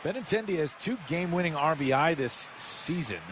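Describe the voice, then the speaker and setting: A man's voice: a little high, animated, fairly quick. An American man calling live baseball play-by-play for radio. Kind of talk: live sports commentary. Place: stadium broadcast booth. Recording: clear stadium ambience noise behind. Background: ballpark ambience.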